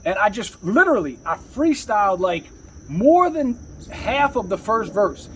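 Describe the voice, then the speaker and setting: A man with a husky voice telling stories casually as a rapper being interviewed, rambling and slangy, often saying "you know.". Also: high-pitched, big pitch swings, a little slow, slight background noise, poor audio.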